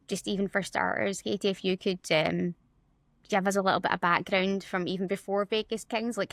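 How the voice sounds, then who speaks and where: A woman's voice: medium pitch, dry recording. A woman hosting a podcast interview in a casual chat, bubbly, laughing often and repeating her phrases. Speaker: podcast host.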